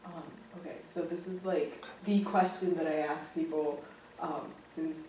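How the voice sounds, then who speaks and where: Low-voiced young woman in an echoey room. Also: fairly even intonation, measured pace, dark tone, a little hiss or hum. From grew up in Japan. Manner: chatty, giggly, many fillers. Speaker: host. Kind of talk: casual campus interview. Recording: echoey room, mic at a distance.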